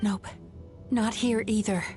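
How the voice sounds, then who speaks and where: A woman voicing a calm, knowing young dream healer in an anime-style game, composed and explanatory. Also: mid-range voice, slow, loud music behind the voice, natural voice. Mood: focused.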